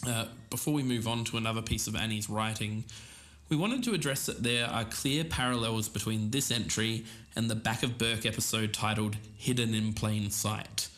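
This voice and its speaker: A man's voice: fairly low pitch, fairly even intonation, normal speed, fairly bright tone, natural voice. An Australian man playing a careful, earnest podcaster in a scripted audio drama. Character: earnest male podcaster investigating a mysterious lost show. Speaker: podcast co-host character.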